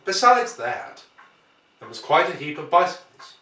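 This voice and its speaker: A man narrates literary prose in a measured, deliberate way. His voice is bright and forceful at an ordinary pitch. The recording is roomy and echoing, picked up some way from the microphone. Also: big pitch swings, far from the mic. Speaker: audiobook reader.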